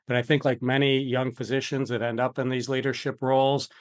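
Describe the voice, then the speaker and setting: A man's voice: medium pitch, flat delivery, a little fast. Middle-aged American man, hesitant interview guest. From Buffalo, New York, United States. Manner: hesitant, meandering phrasing. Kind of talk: conversational interview answers.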